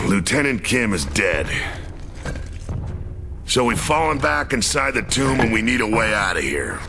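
An actor playing a stoic soldier and squad leader who speaks in very deep, gravelly, clipped words, in a video game cutscene. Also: male voice, big pitch swings, a little slow, long pauses, noisy background. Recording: game cutscene with loud music.

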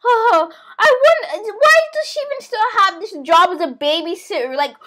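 A teenage girl hosting a gaming video, acting out roleplay with excitable, repeated exclamations. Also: very high-pitched. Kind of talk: dramatic roleplay commentary.

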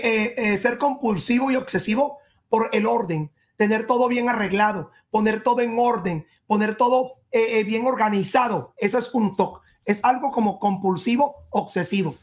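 A woman giving a tarot reading straight to the listener, emphatic, repeating and rephrasing in short phrases. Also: fairly high pitch. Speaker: tarot reader and horoscope host. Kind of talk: spoken tarot reading addressed to the viewer.

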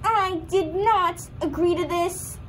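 A woman's voice: very high-pitched, takes it slowly. A young woman voicing a snooty, dismissive female puppet in a scripted puppet show. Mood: scornful. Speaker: student puppeteer. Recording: faint background noise.

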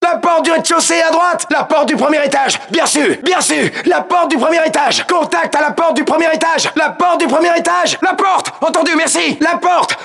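High-pitched, gravelly shouting soldier. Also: male voice, exaggerated voice. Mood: urgent. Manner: clipped, forceful, urgent. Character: male special forces soldier shouting urgent battle callouts. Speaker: game voice actor in a French dub. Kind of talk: shouted video game battle chatter.

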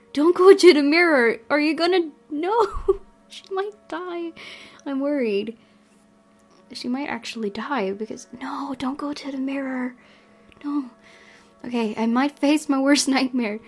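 Expressive woman doing a let's play. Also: a little high. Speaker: gaming video host. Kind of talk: let's play, reading game text aloud with reactions. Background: background game music.

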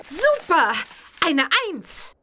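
A woman voicing a cheerful, confident young witch girl in a children's cartoon game, lively and exclamatory. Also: extremely high pitch, very slow, slight background noise, full character voice. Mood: triumphant.

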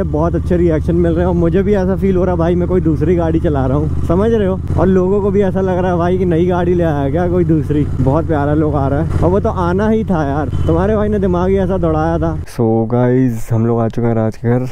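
A young man motovlogging outdoors, chatting excitedly and boastfully to his viewers through a helmet camera mic. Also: fairly high pitch, muffled recording. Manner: excited, boastful, keeps addressing viewers as brothers. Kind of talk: casual vlog chat to viewers. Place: outdoors on city streets on a motorbike. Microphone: helmet-mounted camera mic. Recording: noisy street recording with traffic. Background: traffic and vehicle noise.

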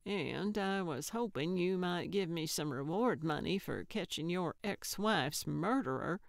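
A woman's voice: lowish voice, lively intonation, unhurried, vocal fry, dry recording, studio quality. A woman voicing a feisty, sentimental older woman with a blunt, plainspoken manner in an audiobook. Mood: chatty. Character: feisty, sentimental older woman who loves her cat. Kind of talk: audiobook character voice.

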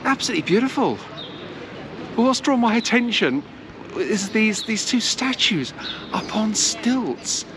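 A woman's voice: a little high, big pitch swings, a little slow. A middle-aged woman presenting a walking-tour travel vlog to a camera held at arm's length. She talks in a chatty, meandering way with frequent hesitations. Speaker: travel vlogger. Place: outdoors in sunny city squares and streets. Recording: outdoor street noise and traffic.

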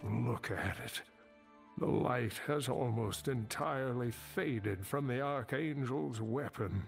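An older actor playing a grave, weary old scholar and mentor in a video game, speaking in a solemn, halting way. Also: male voice, mid-range voice, creaky, faint music behind. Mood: dismayed. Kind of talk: dramatic video game dialogue.

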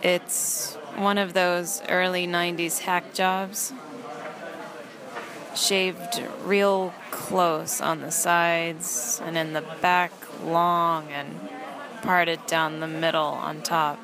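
Low, slow, deadpan young woman. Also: crowd noise. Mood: dry, unimpressed. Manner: dry, deadpan. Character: deadpan, sardonic young female fast-food trainee narrating. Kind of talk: first-person audio play narration.

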